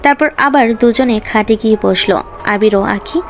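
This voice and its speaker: A woman's voice: on the high side, moderate pace, soft background music. A woman reading scripted narration for a romantic story.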